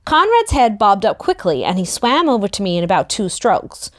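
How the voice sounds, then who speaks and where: A woman narrating a first-person story in an expressive, youthful storytelling manner. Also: mid-range voice, moderate pace. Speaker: narrator in a book table read.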